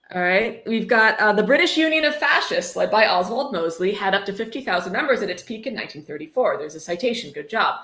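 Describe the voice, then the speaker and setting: A woman's voice: mid-range voice, a bit bright. A woman hosting a mocking livestream book reading, sarcastic, irreverent and profane, breaking off into casual commentary. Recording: echoey room.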